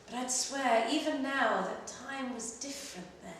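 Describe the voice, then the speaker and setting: A woman performing a literary short story at a live reading, in an expressive, measured way with pauses between phrases. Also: sits high. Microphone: distant microphone. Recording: very echoey room, distant mic, faint noise.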